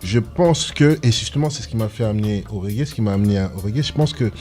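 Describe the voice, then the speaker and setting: A man's voice: fairly low pitch, fast, mellow, soft background music. A man, a reggae artist, talking earnestly and passionately in a casual radio interview, rambling and restarting his sentences. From France, Congolese descent.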